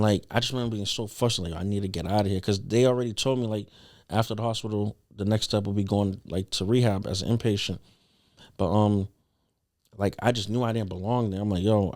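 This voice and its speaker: A young man telling a personal story as a podcast guest in a casual, slangy, earnest conversational way. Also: medium pitch.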